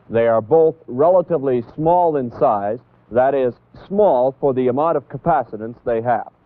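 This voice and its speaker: Male American instructor, 1960s educational film narration, mid-range pitch, deliberate and emphatic on key points, narrow tinny vintage audio. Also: no room sound.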